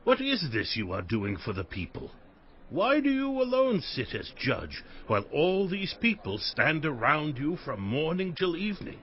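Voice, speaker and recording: An older man playing an elderly father-in-law in a scripture drama, giving counsel in an earnest, emphatic, deliberate way. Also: fairly high pitch, wildly swooping pitch, muffled recording. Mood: concerned, questioning.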